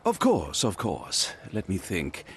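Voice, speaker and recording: A man's voice: low-pitched, wildly swooping pitch, slow pace, vocal fry, slightly rough, full character voice. An actor playing a flamboyant, flirtatious male host of a masked party, speaking with theatrical swoops. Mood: flirty. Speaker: masquerade host.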